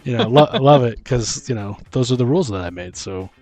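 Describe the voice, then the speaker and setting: A man with a mid-pitched voice chats casually as a podcast host and dungeon master. He thinks aloud in an informal way about running games, with faint music underneath. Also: lively intonation, brisk, darkish tone, no room sound.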